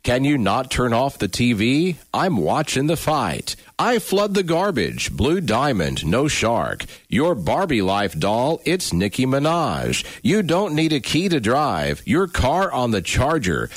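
A man, a radio news director, giving a deliberate, deadpan spoken recital of pop lyrics like poetry. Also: mid-range voice, no echo. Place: radio studio.

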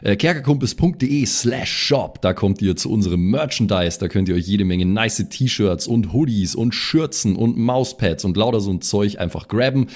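A man's voice: fairly low pitch, normal speed, hardly any pauses, darkish tone. A man giving a casual merchandise promo in tongue-in-cheek rocker slang. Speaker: show regular promoting merchandise.

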